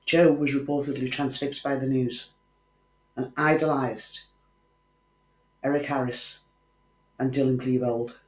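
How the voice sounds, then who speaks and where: A man's voice: medium pitch, flat delivery, unhurried, long pauses. Wry man narrating true crime. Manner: wry, conversational asides to the viewer. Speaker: true crime channel host. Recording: echoey room.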